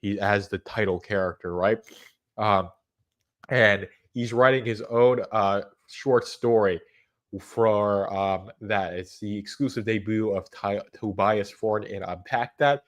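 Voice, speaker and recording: A congested man with a fairly low voice chats loosely on his livestream. He rambles, restarts his sentences and fills gaps with "um" and "uh". His nose sounds stuffed from a cold. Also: slow. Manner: rambling, frequent restarts and fillers. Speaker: livestream host. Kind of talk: casual livestream chat.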